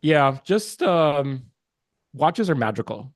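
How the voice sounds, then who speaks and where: A man's voice: fairly high pitch, sing-song, takes it slowly, no room sound, studio quality. A man from Pakistan whose first language is Urdu, a podcast guest talking casually and earnestly, with many fillers and restarts. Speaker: guest, watch market research business developer. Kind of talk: casual interview chat.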